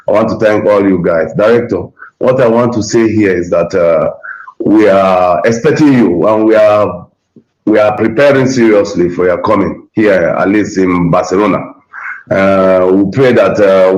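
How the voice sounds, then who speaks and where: A man's voice: fairly low pitch, a little mellow. A man from Nigeria calling in to a radio show, respectful, thanking the host at length with many fillers. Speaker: caller. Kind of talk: phone-in call. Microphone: phone or online call. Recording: roomy, dull call audio.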